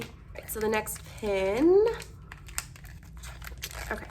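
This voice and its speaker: A young woman vlogger chatting casually through an unboxing, bubbly and gushing, her voice hoarse as she loses it. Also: fairly high pitch, slight background noise.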